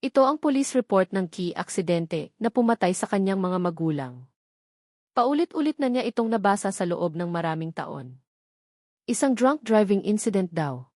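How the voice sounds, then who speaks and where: A woman reading a dramatic love story as a steady, scripted narrator. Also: medium pitch, no room sound, studio quality.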